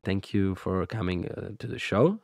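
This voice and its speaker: Low-voiced male podcast host. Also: lively intonation, normal speed, gravelly, loud music behind the voice. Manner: casual, with many fillers like "like" and "uh". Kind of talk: conversational interview.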